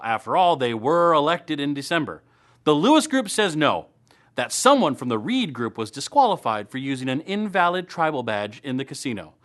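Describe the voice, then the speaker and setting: A man's voice: fairly high pitch, fairly bright tone. A man hosting a local web series, reading upbeat scripted news and event segments in a playful, tongue-in-cheek way.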